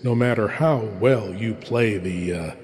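A man presenting a horror show with measured, philosophical, theatrical commentary. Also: on the low side, a little mellow. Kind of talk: scripted host commentary. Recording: reverberant, faintly noisy.

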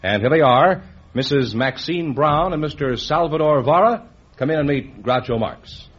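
A man's voice: fairly high pitch, lively intonation, normal speed, husky. An American man announcing a 1950s radio show in a formal, showmanlike style. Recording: muffled old radio recording. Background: faint music.

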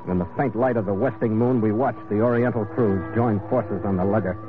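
An actor playing a tough, wry sea captain who also narrates a vintage radio adventure in a clipped way. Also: male voice, on the low side, a little fast, over music, lightly acted. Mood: wry narrating. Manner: clipped, wry, hard-boiled. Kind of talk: old-time radio adventure acting and narration. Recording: tinny vintage radio recording.

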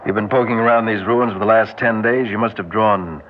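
A commanding spaceship leader, played by a man, low in voice and used to being answered. His delivery is clipped classic radio acting, on a dull recording with light hiss. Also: fairly even intonation, fairly quick, close to an everyday voice. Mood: pressing. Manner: authoritative, prompting, clipped orders. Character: brisk, commanding alien expedition commander, male, authoritative and pressing. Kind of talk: old-time radio drama acting.

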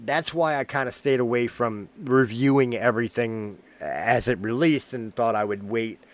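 A man's voice: mid-range voice, normal speed. Guy in his 40s with a lingering cold, rambling fan review, lots of uhs. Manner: rambling, filler-heavy, self-correcting. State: getting over a cold. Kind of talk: casual spoken review.

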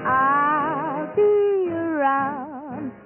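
Slow, high-voiced woman ballad singer. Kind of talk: 1940s radio band ballad singing. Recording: tinny old radio recording. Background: band music.

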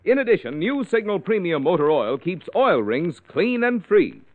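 A man's voice: sits high, sing-song, normal speed, no room sound. A man working as a radio announcer, with polished, emphatic 1940s commercial delivery. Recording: tinny old radio recording.

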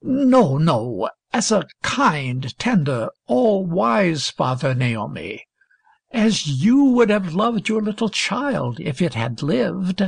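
A woman reading a storybook, voicing a gentle old male minister who comforts slowly and solemnly. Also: on the low side, big pitch swings, vocal fry, slightly rough, no echo, heavily acted. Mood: gentle consoling.

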